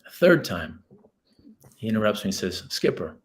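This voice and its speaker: A man's voice: fairly low pitch, very animated, moderate pace. A man, a guest author telling a story in an earnest, reflective way. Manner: earnest, reflective, many restarts. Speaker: guest, author and school leader. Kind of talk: storytelling in a podcast interview.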